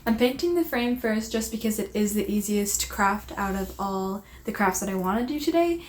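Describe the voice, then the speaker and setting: A woman's voice: medium pitch, lively intonation, moderate pace. A young woman vlogging at home, chatty and rambling, her voice a little strained from a sore throat. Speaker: craft vlogger. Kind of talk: casual vlog narration.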